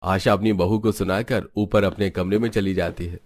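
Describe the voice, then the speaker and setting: A man's voice: low-pitched, steady tone, normal speed, a little mellow, a little hiss or hum. A man reading scripted narration for a family drama cartoon story.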